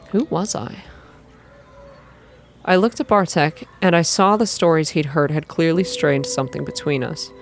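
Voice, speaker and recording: A young adult reading a visual novel aloud in a soft ASMR style, close to the microphone, with informal asides between passages. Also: medium pitch. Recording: clear background noise.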